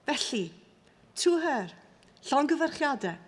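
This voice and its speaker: A woman's voice: high-pitched, very animated, measured pace. A woman with a Welsh accent giving a formal, stately ceremonial speech that presents an honorary graduate, moving between English and Welsh. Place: large ceremony hall. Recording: echoey large hall.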